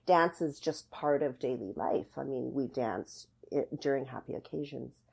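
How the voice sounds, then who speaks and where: Very low, husky-voiced woman. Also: moderate pace, a little mellow. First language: English. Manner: thoughtful, searching for words. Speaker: podcast guest, dance academy artistic director. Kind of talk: conversational explaining in an interview.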